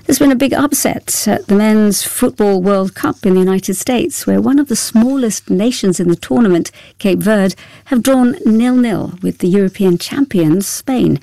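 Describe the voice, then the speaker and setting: A woman reads the world news in a calm, formal, measured delivery. Her voice is mellow, and the recording is dry and close. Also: medium pitch, gravelly, no echo. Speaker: newsreader. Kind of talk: scripted news bulletin.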